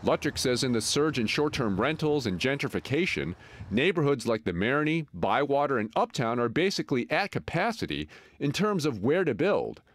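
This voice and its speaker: A man's voice: mid-range voice, big pitch swings, normal speed. A man reporting a local news feature, narrating and interviewing people outdoors on residential streets.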